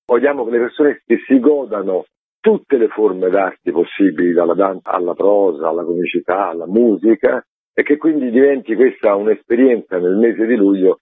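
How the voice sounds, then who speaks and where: A man's voice: on the low side, animated, moderate pace, slightly rough, no room sound. A man explaining things in an interview in an emphatic, conversational way. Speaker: theatre artistic director, interview guest. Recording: narrow, tinny phone line.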